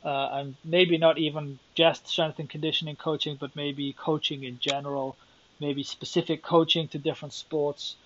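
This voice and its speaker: A man's voice: a little high, level tone, moderate pace, fairly bright tone, slight background noise. Rambling Welsh man on a lo-fi podcast. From Wales. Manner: rambling, self-deprecating, thinking aloud. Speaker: podcast host. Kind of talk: solo podcast monologue.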